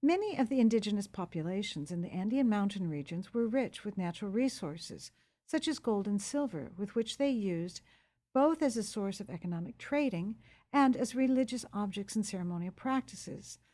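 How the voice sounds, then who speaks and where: A woman reads a scripted museum narration in a smooth, even manner. Her tone is mellow and warm, and her voice sits in a middle register. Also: medium pitch, normal speed.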